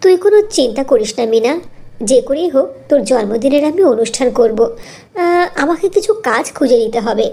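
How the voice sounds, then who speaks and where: A woman voicing a caring, eager young orphan girl in a fairy tale cartoon, with an earnest, expressive delivery. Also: sits high, fairly quick, breathy edge, exaggerated voice. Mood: earnest, reassuring.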